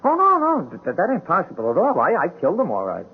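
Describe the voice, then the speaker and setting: A harmless, simple-minded barfly in an old radio play, fairly high-voiced. His folksy, trusting speech stumbles and swoops dramatically up and down through a tinny recording. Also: wildly swooping pitch, fast, a little hiss or hum, heavily acted. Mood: amiable, confused. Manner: hesitant, stammering, folksy. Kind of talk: 1970s radio drama acting.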